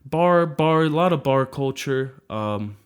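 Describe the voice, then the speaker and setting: A man co-hosting a casual podcast, Polish his first language. He rattles off loose, profane stories, breaking into laughter as he goes. His voice sits at an everyday middle pitch. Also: animated, takes it slowly, clean tone. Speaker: podcast co-host. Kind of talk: casual chat.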